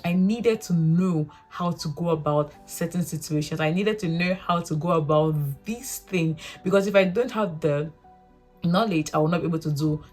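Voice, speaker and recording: A woman's voice: low-pitched, breathy edge. A young woman from Africa with a local mother tongue, talking earnestly to camera in a rambling, self-correcting way. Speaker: vlogger. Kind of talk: casual reflective talk to camera.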